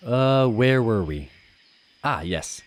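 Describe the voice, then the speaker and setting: A man playing a patient father who gently teases, reassures and explains things in an animated children's storytime. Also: mid-range voice, slow pace, slight background noise. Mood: gently teasing.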